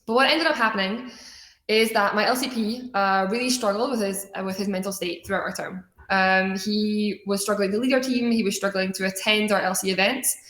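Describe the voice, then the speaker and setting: A woman's voice: mid-range voice, fairly even intonation, brisk, fairly bright tone. A young adult woman from Scotland gives a motivational personal-story talk in an earnest, conversational way. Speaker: student organization leader giving a keynote talk. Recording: echoey room sound.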